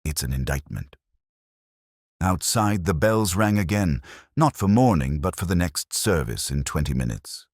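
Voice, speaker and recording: An extremely low-pitched man narrates a mystery audiobook. His delivery is measured and dramatic, with deliberate phrasing and a lively rise and fall. The sound is close and dry. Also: dry recording. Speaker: story narrator.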